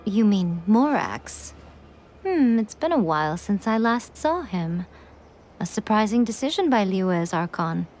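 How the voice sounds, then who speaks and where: A woman's voice: mid-range voice, sing-song, a little mellow, slight background noise. A woman playing a sly, worldly shrine priestess in a video game, teasing and self-assured with a knowing air. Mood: knowing, explanatory. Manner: teasing, knowing, unhurried condescension. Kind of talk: video game cutscene acting.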